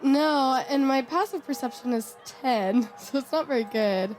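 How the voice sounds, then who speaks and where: A woman with a high voice joins a tabletop game as a player. Her talk is casual and conversational, over faint music. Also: unhurried, a little mellow, poor audio.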